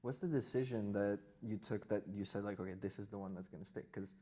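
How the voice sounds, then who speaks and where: A man hosting an interview, asking questions in a relaxed, conversational way. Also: lowish voice, quick pace. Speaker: podcast host.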